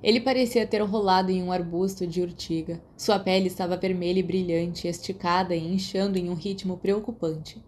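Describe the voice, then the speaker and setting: Female audiobook narrator, Brazilian accent, warm dark timbre, scripted fiction, light background noise. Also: fairly low pitch, steady tone, brisk, hardly any pauses.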